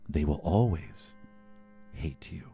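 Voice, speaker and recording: A man's voice: extremely low pitch, lively intonation, gravelly, mellow, pitched below the performer's own voice, exaggerated voice. Man playing a grave, dramatic demigod mentor over music. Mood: bitter warning. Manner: slow, grave, dramatic pauses.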